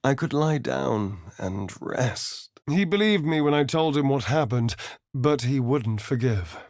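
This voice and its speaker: An actor playing a suave, sardonic vampire rogue in a video game, speaking in an arch, drawling, theatrical manner. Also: male voice, mid-range voice, lively intonation, a little slow, vocal fry, no room sound, clean studio sound. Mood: musing. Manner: theatrical, arch, drawling, with dramatic swells and hushes. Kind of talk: video game character voice lines.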